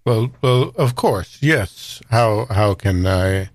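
A man's voice: fairly low pitch, lively intonation, no room sound, studio quality. Man playing a hesitant, wary old uncle. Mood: wary, measured. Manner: hesitant, measured. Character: reserved, wary old uncle in his sixties.

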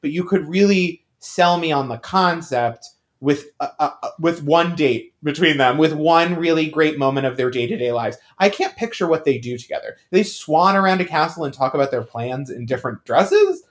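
A man's voice: on the high side, expressive, moderate pace. A man co-hosting a podcast, chatting casually about pop culture in an emphatic, joking way. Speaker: podcast co-host.

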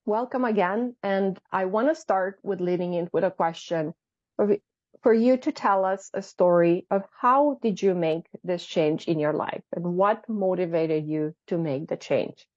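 A woman hosting a podcast interview whose first language is not English, encouraging her guest and pausing with "um.". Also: fairly low pitch, a little mellow, dry recording, lo-fi sound. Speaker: podcast host.

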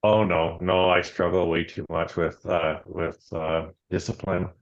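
A man's voice: low voice, unhurried, muffled recording. A man, a company founder talking casually as an interview guest, with a loose, hesitant manner. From Canada, Alberta. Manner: relaxed, many "um"s, trails off with "and stuff".

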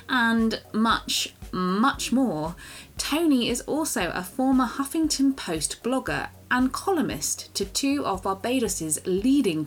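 Brisk woman reading a podcast intro. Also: medium pitch. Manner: brisk, formal, read from script. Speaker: podcast host. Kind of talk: scripted introduction.

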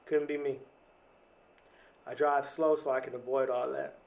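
A man's voice: medium pitch, flat delivery, a little slow, creaky, a little mellow, far from the mic. A man in his thirties talking in a reflective, casual podcast monologue, often repeating and restarting words, with casual slang. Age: 30s.

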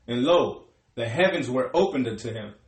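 A man's voice: mid-range voice, lively intonation, moderate pace. A man reading Bible verses aloud in a formal, declamatory way as a scripture reader. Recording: echoey room.

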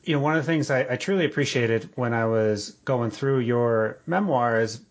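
A man interviewing an author, setting up his questions in a measured, appreciative way with some halting restarts. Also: mid-range voice, highs cut off. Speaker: podcast interviewer.

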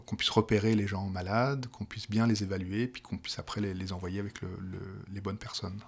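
Gravelly middle-aged Parisian man. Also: fairly low pitch, little pitch movement, a little fast. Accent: Parisian French. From France. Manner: hesitant, many restarts and fillers. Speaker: project manager for a provincial health plan. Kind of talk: explanatory interview answers.

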